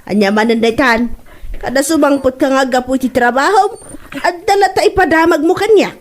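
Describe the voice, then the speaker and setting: A woman's voice: high-pitched, moderate pace, lightly acted. A woman playing a stern but neighborly mother in a comedy drama, with an Ilocano Filipino accent. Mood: scolding. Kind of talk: amateur comedy radio-style drama.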